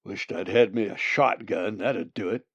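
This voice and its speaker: A man voicing a gruff, stubborn hunter with folksy rustic talk in a storybook tale. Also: fairly low pitch, a little slow, dry recording, muffled recording. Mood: grumbling. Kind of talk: storybook character voice.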